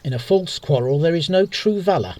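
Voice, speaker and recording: An actor playing a proud, stern gentleman soldier in a Shakespearean radio play, speaking gravely and formally. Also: on the high side, expressive, fairly quick, natural voice. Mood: grim challenging. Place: home recording.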